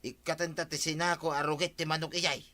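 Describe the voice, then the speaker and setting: A man's voice: on the high side, little pitch movement, a little fast, pushed and bright. A man with an Ilocano accent acting a scheming, excuse-making neighbour in a radio comedy drama. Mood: pleading, casual.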